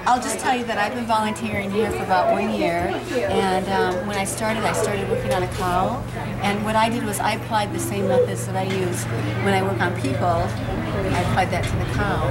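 Middle-aged woman, loud chatter around. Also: mid-range voice. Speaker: volunteer animal massage therapist being interviewed. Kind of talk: casual personal account. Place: open-sided roofed barn. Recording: location sound with loud crowd chatter.

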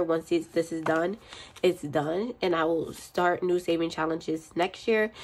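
A woman's voice: fairly low pitch, gravelly. Stuffy-voiced young woman, chatty vlogger. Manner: chatty, rambling, counts aloud. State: stuffy from a cold. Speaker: budgeting channel host. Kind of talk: casual chat while counting cash. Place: indoors at a desk.

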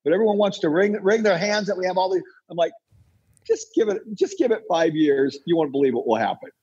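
A man's voice: sits high, lively intonation, a little fast, a little mellow, husky, muffled recording. An American man giving opinionated interview answers as a guest, insistent and emphatic, repeating phrases for effect. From United States. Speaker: podcast guest, entrepreneur and author.

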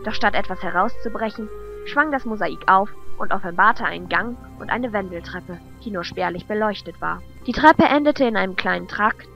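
A woman's voice: mid-range voice, normal speed, creaky. Woman narrating, dull lo-fi sound. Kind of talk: scripted audio drama narration. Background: background music.

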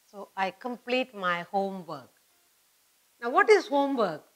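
A woman's voice: mid-range voice, animated, unhurried, a bit bright, rough recording. A middle-aged woman with an Indian accent teaching children, repeating and stressing key words in a didactic way. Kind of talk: children's lesson at a whiteboard. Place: indoor studio in front of a whiteboard.

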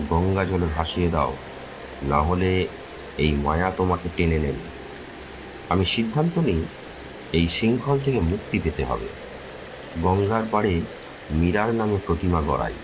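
Deep-voiced man narrating a horror story. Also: background noise. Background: music.

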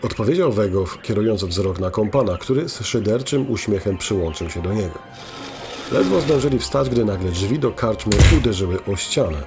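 A man with a fairly low voice narrates a scripted fantasy tale. Faint music runs behind his storytelling.

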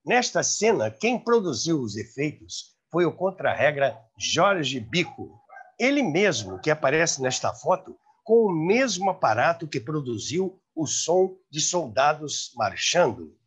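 Male presenter, seventies, Brazilian accent, husky and very lively, recounting history to camera. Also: a little high, a little slow. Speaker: host. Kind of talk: storytelling about radio history, to camera. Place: room by a large window, indoors. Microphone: lapel mic.

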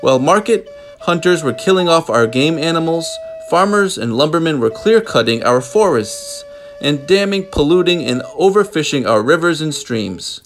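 A man's voice: a little high, animated, moderate pace. Man reading aloud over music. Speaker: storybook reader. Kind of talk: children's book read-aloud, scripted narration.

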